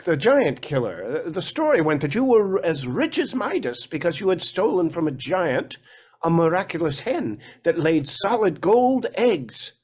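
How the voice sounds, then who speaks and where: A man's voice: high-pitched, darkish tone, slightly rough, full character voice. A man acting a harried, henpecked lord and father in a fairy-tale stage play, flustered and theatrical. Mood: curious, reminiscing.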